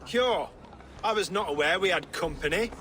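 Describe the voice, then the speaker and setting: A man's voice: high voice, expressive, moderate pace, a bit bright, slight background noise. An actor playing an irritable, haughty Viking-age king, imperious and curt, in a dramatic video game. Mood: irritated.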